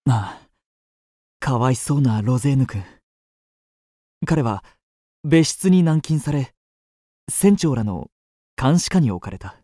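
Radio drama narrator: suave young gentleman, measured, husky, unhurried, faint music underneath. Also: mid-range voice, expressive, no room sound, studio quality. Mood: wry pity. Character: suave young male narrator, quietly courting a lady.

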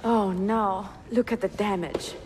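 A woman's voice: mid-range voice, steady tone, unhurried, noisy background, close to an everyday voice. A woman playing an educated, poised young Italian bookseller in a video game, articulate, with an Italian accent and a reverberant sound. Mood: eager, curious. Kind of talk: game cutscene acting.

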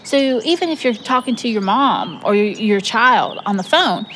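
A woman's voice: on the high side, expressive, normal speed, a bit bright, slight background noise, poor audio. A woman explaining things as an interviewee in a documentary.

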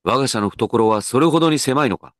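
An actor playing an earnest, dignified company president in a comedic radio drama, with pompous, measured executive speech. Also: male voice, mid-range voice, expressive, dry recording, clean studio sound, close to an everyday voice. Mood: grave, probing.

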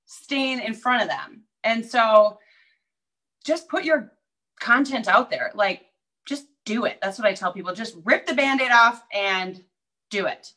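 A woman's voice: fairly high pitch, expressive, a little slow, bright, forceful tone, poor audio. A woman with a Minnesota accent, a real estate agent chatting upbeat as a guest over a video call. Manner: chatty, upbeat, many fillers. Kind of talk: casual interview chat.

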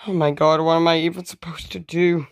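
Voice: mid-range voice, level tone, fairly quick, no room sound, close to an everyday voice. Anxious dinosaur hero for a homemade story, acted by an amateur, loyal and dramatic, in a dry recording. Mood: panicked grief. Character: frightened, loyal dinosaur hero protecting friends.

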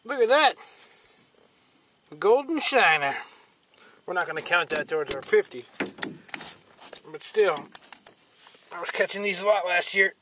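Man, fishing vlogger, rambling easygoing talk, slightly high voice, recorded outdoors at a distance. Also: animated, measured pace, long pauses, sharp tone, slight background noise. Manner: laid-back, rambling with fillers. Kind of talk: casual outdoor vlog.

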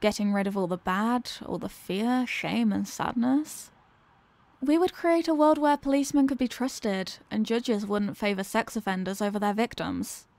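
A woman's voice: mid-range voice, steady tone, moderate pace, a little mellow, dry recording, close to an everyday voice. A woman voicing a dominant, mocking female villain in an ASMR roleplay, with a condescending, teasing, theatrical manner. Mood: idealistic, persuasive.

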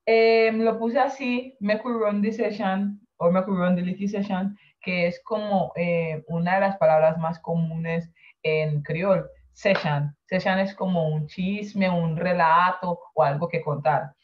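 Profesora lenta en videollamada apagada. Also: female voice, on the low side, steady tone. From San Andrés Island, Colombia. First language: San Andrés Creole. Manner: slow, explains each word and translates it. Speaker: Creole language teacher. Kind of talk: online class over a video call. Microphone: video call. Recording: dull lo-fi video call audio.

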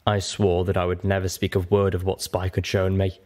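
A man reading a first-person story aloud as an expressive narrator. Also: sits low, fairly quick. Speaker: audiobook reader.

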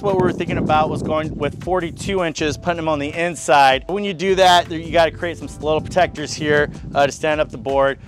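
A man in his thirties talks through a woodworking build in an upbeat, chatty way, his voice sitting a touch high. He is outdoors on a covered porch workshop, with faint music playing underneath. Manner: upbeat, chatty, says guys and man a lot. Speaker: fitness coach building gym gear. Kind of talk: casual DIY tutorial.

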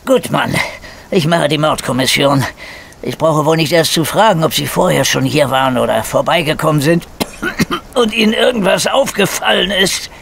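Blunt middle-aged detective, full of cold, gravelly, cynical, radio drama, faint noise behind. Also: male voice, fairly high pitch, normal speed, a bit bright. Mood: gruff, irritated. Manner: gruff, blunt, colloquial. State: coughing with a cold. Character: grumpy, cynical small round middle-aged police detective with flu. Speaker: actor. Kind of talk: radio crime drama acting.

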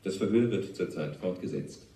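A formal news announcer with a low, dark voice reads a measured bulletin in a radio drama. He sounds distant and echoey. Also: expressive. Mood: formal, factual. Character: formal male news announcer reporting an arrest. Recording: distant, echoey, faint noise and clicks.

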